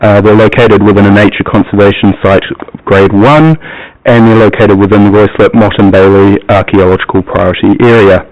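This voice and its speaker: A man with a New Zealand accent presenting a planning application to a council committee, pausing often with ums as he explains. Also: on the low side, steady tone, darkish tone. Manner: hesitant, many ums, plain explanatory. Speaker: planning officer. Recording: muffled, lo-fi broadcast audio.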